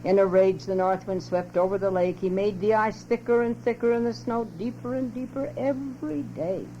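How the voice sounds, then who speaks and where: A woman's voice: medium pitch, fairly even intonation, normal speed. An older woman expressively reading a children's story aloud as a narrator. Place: home tape recording. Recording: lo-fi muffled home cassette, loud hum.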